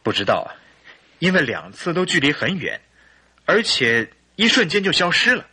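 An actor playing a distraught, nervous husband in a radio drama, speaking earnestly. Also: male voice, a little high, very animated, moderate pace, bright, forceful tone, husky, heavily acted. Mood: troubled.

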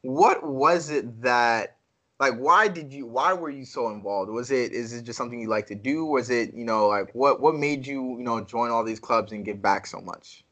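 A man hosting a casual interview, asking relaxed, rambling questions. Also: medium pitch, normal speed. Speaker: host.

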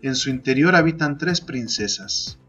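A man narrating a literary fantasy poem as a podcast host, in a measured, deliberate storytelling style. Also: mid-range voice, expressive, a bit bright, muffled recording. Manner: measured, deliberate, second-person storytelling delivery. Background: music.

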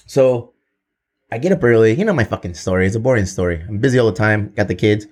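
A man's voice: lowish voice, expressive, fast, darkish tone. Profane, joking podcast host man. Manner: profane, joking, slips into Spanish. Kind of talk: casual podcast banter.

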